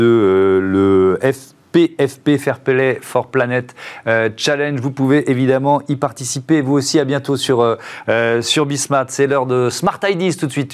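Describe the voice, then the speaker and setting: A man works as a broadcast host. His voice sits at a middle pitch, and he speaks conversationally as he interviews and presents.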